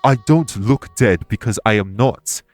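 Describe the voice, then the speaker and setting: An actor playing a courteous, remorseful immortal elven king in an audio roleplay, speaking in formal, measured phrases. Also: lowish voice, soft background music, natural voice. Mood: urgent, exasperated. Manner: formal, measured, stately wording. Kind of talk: scripted audio roleplay acting.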